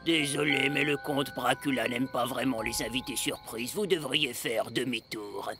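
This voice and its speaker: A man plays a vampire's weary cartoon assistant in a creaky, gravelly voice. He is grumpy, deadpan and sarcastic, and music plays clearly underneath. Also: medium pitch, moderate pace, natural voice. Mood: dour, formal. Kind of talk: French cartoon dubbing.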